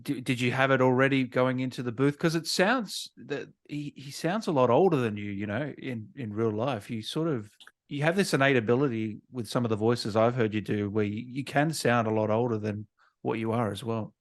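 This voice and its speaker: A man hosting a relaxed, informal interview, chatting and putting questions to his guest. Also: mid-range voice, normal speed. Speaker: podcast host, interviewer. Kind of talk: casual interview chat.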